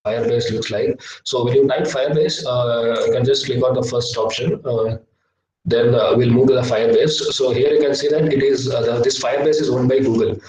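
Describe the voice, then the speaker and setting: A young man teaching a live online coding lesson, hesitant with many filler sounds, his voice slightly low from a cough. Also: steady tone, a little fast, darkish tone. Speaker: workshop instructor. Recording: echoey, distant laptop mic.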